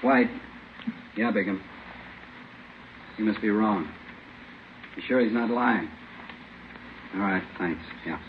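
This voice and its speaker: An actor playing a terse, commanding police captain in an understated old radio drama style. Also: male voice, on the low side, slow pace, long pauses. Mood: terse, probing. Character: male police captain, terse, steady, in charge. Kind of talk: 1940s-50s radio drama acting. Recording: dull, noisy old radio recording.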